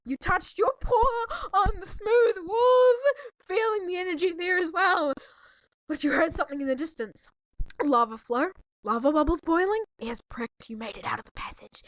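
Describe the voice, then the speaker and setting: A woman's voice: high voice, no room sound, poor audio. A woman reading a story aloud in a mock-dramatic way, giggly and breaking off to react in casual disbelief. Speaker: co-reader in a fanfiction reading video.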